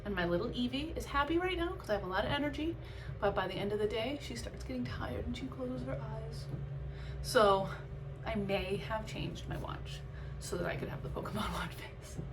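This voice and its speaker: A woman's voice: on the high side, expressive, normal speed, low-quality recording. A woman vlogger chatting casually and candidly about her own experience, reflective and conversational with emphatic bursts. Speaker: vlogger and amateur runner. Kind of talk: casual, candid vlog chat.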